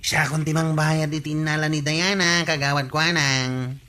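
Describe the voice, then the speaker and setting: A man's voice: on the high side, level tone, moderate pace, bright, forceful tone. An Ilocano-accented actor playing a simple, eager village man, hesitant and giggly, in a comedy radio drama. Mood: casual, cheerful. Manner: hesitant, questioning hums and stammers, giggly.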